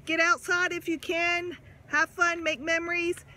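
A woman's voice: extremely high pitch, little pitch movement, a little slow, sharp tone. A woman in her forties vlogging to a phone at arm's length on a sunny farm, talking in a casual, upbeat way. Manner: chatty, upbeat, encouraging to her kids. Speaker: family vlogger. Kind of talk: casual vlog talk to camera. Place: outdoors on a busy farm in sunshine. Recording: outdoor crowd and noise behind.

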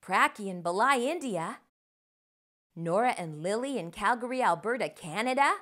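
A middle-aged woman hosting a children's storytime, speaking in an animated, expressive way to young readers. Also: medium pitch, normal speed. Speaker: host of children's read-aloud show. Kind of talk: children's storytime read-aloud and presenting. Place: small furnished room indoors. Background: music.

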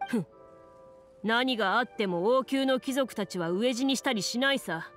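A woman voicing a short-tempered male merchant in a game, with rough, curt, scoffing speech. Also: fairly high pitch, moderate pace, a bit bright, faint music behind, close to an everyday voice. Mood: bitter.

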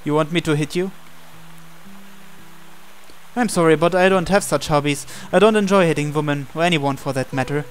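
A man with a slightly high voice reads a visual novel aloud. He takes the male lead's dialogue and thoughts in character. Faint music plays beneath him. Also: normal speed, long pauses. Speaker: gameplay reader voicing the male protagonist. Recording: music playing under the voice.

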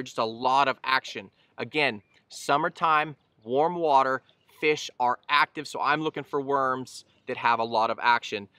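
Casting note: thirties male angler-host, bright crisp delivery, casual explainer, recorded outdoors on a boat. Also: medium pitch, no room sound. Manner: easygoing, explanatory, frequent fillers and restarts. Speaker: fishing channel host. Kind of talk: casual instructional talk to camera.

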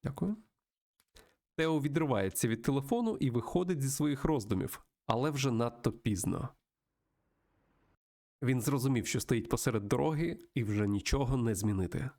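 Gravelly man narrating a game. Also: medium pitch, expressive, normal speed, long pauses, dark tone. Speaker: host of a video game let's-play show. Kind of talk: reading a visual novel aloud with personal commentary.

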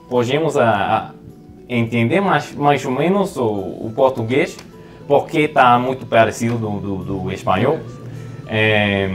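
A young man from the United States with an American accent, a podcast guest talking in a halting way with many repeated words and fillers. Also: medium pitch. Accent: American English. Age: 20s. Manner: hesitant, many repeated words and fillers while switching languages. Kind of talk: casual podcast chat. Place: small living room studio.

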